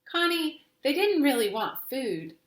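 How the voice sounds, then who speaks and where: A woman voicing a patient, earnest father in a storybook, explaining things in a gently firm manner. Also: sits high, very animated, unhurried, echoey room, voice pushed up.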